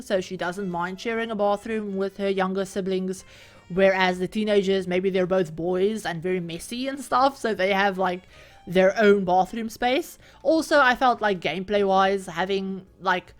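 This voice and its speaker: A woman hosting her own channel, narrating a casual voiceover over gameplay from a room at home with the door open. She talks in a chatty, rambling way, full of "like" and little laughs. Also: medium pitch. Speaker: video creator and host.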